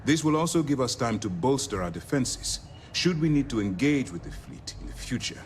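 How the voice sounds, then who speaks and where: A man's voice: medium pitch, sing-song, normal speed, a little hiss or hum, lightly acted. A man playing a stern, formal space navy commander giving orders in a scripted video game. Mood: composed, strategic. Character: stern, authoritative male space navy commander briefing an agent.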